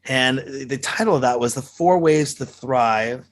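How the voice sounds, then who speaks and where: A man's voice: medium pitch, a little slow. Rambling male interview host. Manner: rambling, many fillers. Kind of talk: casual interview chat.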